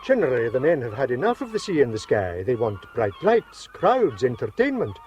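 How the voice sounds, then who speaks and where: A man's voice: mid-range voice, huge pitch swings, fairly quick, a little mellow. An actor playing a genial older island father in a radio drama. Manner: genial, easygoing.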